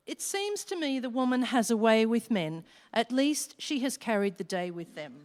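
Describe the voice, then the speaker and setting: A woman acts out a devil chairing a council of fiends in a radio play, theatrical and commanding. The voice is fairly high and unhurried, ringing through a hall with long reverb. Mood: pleased, formal. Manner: theatrical, deliberate, speaking in rhyme. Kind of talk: staged radio play acting. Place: live stage in a hall.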